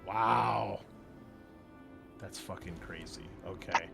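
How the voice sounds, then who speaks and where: A man's voice: deep voice, slow, vocal fry, bright, forceful tone, faint music behind. Man running a tabletop roleplaying game. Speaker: game master.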